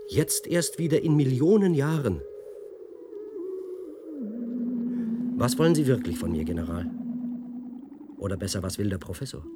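A man's voice: on the low side, background noise, natural voice. An actor playing a mocking, brooding male press officer of a research centre in a German science-fiction radio drama. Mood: dry, needling.

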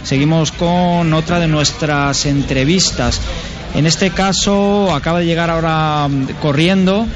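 A man's voice: a little high, unhurried. A man hosting a live radio interview in a polite, formal manner. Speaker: radio host. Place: busy live event broadcast. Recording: dull sound, loud crowd noise.

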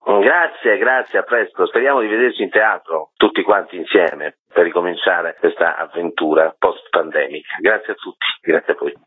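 A man being interviewed as an artistic director, speaking husky-voiced over a tinny telephone line. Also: low voice, moderate pace, few pauses. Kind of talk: radio interview by phone. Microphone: phone call.

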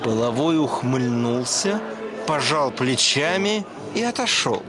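A man's voice: medium pitch, lively intonation, takes it slowly, crowd noise. A man narrating a literary short story for radio in a scripted, storytelling style. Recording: music behind the voice.

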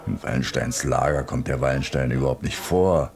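An extremely deep-voiced, deadpan sceptic. He mocks drily in the style of a radio drama. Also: moderate pace, gravelly. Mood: sceptical. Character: sceptical, drily mocking male radio colleague.

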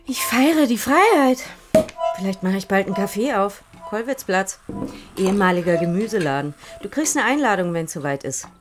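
An actress playing an excitable, free-spirited, flirtatious woman in a naturalistic radio drama, breezy and teasing. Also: mid-range voice, normal speed, over music, close to an everyday voice. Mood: exuberant, proud.

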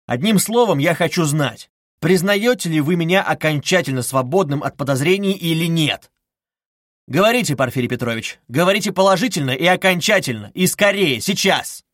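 A man's voice: on the high side, animated, normal speed, a bit bright, no echo, studio quality, pitched above the performer's own voice. Man acting a furious suspect, raised vehement voice. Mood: insistent. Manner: vehement, insistent, agitated. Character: proud, furious, tormented male suspect at breaking point. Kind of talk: dramatized audiobook reading.

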